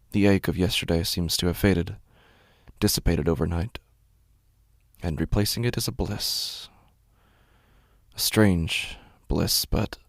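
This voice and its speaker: An actor playing a weary, detached undead man in a first-person horror audio drama, speaking in a halting, hushed, gravelly voice. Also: no room sound, clean studio sound, exaggerated voice. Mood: drowsy, eerily calm. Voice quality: low, gravelly, breathy at times. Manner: slow, halting, hushed, with long pauses. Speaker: horror podcast narrator.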